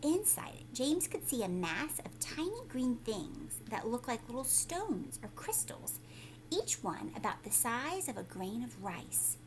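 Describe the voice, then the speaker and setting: A woman's voice: fairly high pitch, very animated, measured pace, background noise. A woman teacher reading a children's story aloud, speaking expressively and emphatically, with explanatory asides for young listeners.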